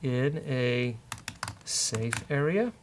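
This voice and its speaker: A man's voice: mid-range voice, animated, extremely slow. A man with a British accent hosting a casual live coding walkthrough, thinking aloud in a jokey way. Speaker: host of a coding show. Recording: keyboard clatter and clicking noise. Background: typing and mouse clicks.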